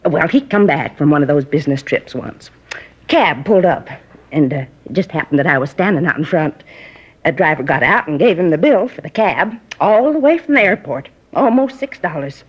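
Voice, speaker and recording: An actress playing a gossipy, nosy middle-aged neighbor who rambles and confides in folksy grammar, in a 1950s radio drama. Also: female voice, deep voice, animated, moderate pace, slightly rough. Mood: gossiping. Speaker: apartment neighbor questioned by police. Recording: dull lo-fi 1950s radio recording.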